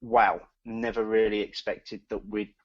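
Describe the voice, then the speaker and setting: A man with a gravelly, creaky voice co-hosts a casual podcast, stumbling over his words and repeating himself as he goes through a list of thank-yous. The sound is dry and a bit dull, like a lo-fi recording. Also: lowish voice, little pitch movement, no room sound. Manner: halting, many fillers and repeated words. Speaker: podcast co-host. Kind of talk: casual chat.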